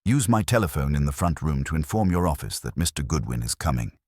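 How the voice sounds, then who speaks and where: A man's voice: a bass voice, sing-song, quick pace, a little mellow, slightly rough, dry recording, clean studio sound, exaggerated voice. A man voicing an imperious, pedantic older genius detective in an audiobook, with formal, precise, commanding diction.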